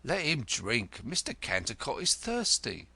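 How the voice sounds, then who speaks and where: A man's voice: mid-range voice, wildly swooping pitch, a little slow, gravelly, pushed and bright, no room sound, exaggerated voice. A man voicing a meek, timid shopkeeper who placates his wife. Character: meek timid middle-aged shopkeeper husband.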